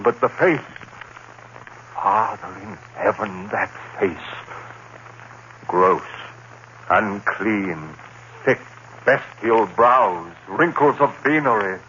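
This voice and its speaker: A man playing a terrified condemned prisoner recounting his nightmare in halting, anguished phrases, in the style of 1940s radio horror. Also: on the low side, pushed and bright, highs cut off, exaggerated voice. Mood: horrified fascination. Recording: noisy, band-limited old radio recording.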